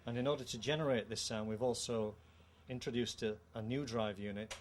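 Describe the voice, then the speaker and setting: A middle-aged man with a British accent gives a measured, formal technical explanation of a product. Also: mid-range voice, fairly even intonation. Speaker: company co-founder presenting a product. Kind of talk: technical product presentation in an interview. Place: indoor trade show room. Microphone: handheld microphone. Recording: clear background noise, show-room ambience.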